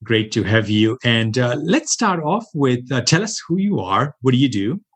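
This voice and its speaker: A man with an American accent hosting a podcast interview. He sounds upbeat and halting and restarts his questions often. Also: medium pitch, sing-song. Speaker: podcast host.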